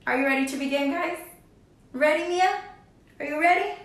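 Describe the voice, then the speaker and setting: Teacher lady talking sweet to little kids, kinda high voice, big echoey room. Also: moderate pace, fairly bright tone. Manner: sweet, encouraging, talks to kids. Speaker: teacher hosting a children's read-aloud channel. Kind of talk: warm address to young children.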